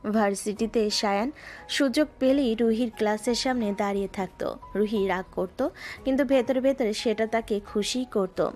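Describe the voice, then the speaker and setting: A woman narrating a love story in a soft, even manner, her voice at a middle pitch. Gentle music plays underneath throughout.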